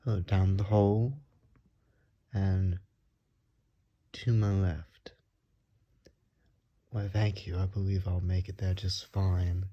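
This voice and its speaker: A courteous gentleman vampire, acted by a man for an ASMR roleplay, speaks in a low, breathy half-whisper. He takes his time, ingratiating and old-fashioned, with hesitant flattery. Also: slow, long pauses, dull audio. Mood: grateful, charmed. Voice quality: soft, breathy, often whispered.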